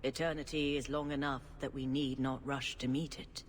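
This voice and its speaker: An actor playing a calm elderly church leader who speaks in a measured, gently reproving way. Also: male voice, medium pitch, slight background noise, natural voice. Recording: long reverb, faint ambience.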